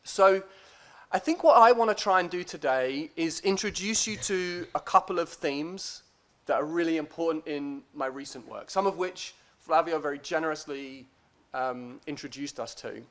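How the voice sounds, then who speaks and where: A man giving a conference lecture as a guest academic, speaking in a deliberate, hesitant, self-deprecating way. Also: a little high, a bit bright.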